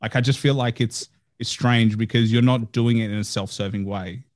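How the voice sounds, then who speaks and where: A man's voice: mid-range voice, steady tone, moderate pace. A man hosting a podcast interview, chatting in an earnest way with frequent "like" fillers. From Australia. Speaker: podcast host. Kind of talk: casual interview chat.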